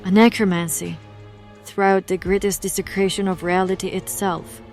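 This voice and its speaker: A woman's voice: mid-range voice, little pitch movement, normal speed, a little mellow, soft background music, natural voice. A woman playing a grave, knowing investigator of the occult who explains things in formal words in an audio drama. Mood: grave, ominous.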